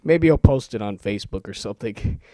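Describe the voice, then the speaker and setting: An amateur male voice talent with a mellow tone and animated pitch. He sounds informal and amused with himself, as if fooling around on a homemade demo. The recording is close and dry. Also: a little fast, no echo. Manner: joking, self-amused, informal. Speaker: amateur making a voice-over demo. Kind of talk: casual chat and rapid-fire list reading.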